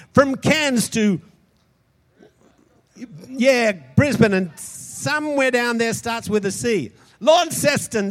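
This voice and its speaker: A man preaching a sermon, impassioned, swinging between loud shouts and soft, quick asides. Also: high-pitched. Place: large hall converted into a church.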